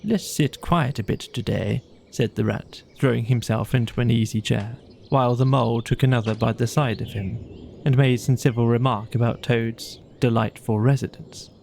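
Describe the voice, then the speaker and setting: A man's voice: mid-range voice, level tone, moderate pace, vocal fry, darkish tone, a little hiss or hum. A man reading scripted narration for a children's bedtime audiobook.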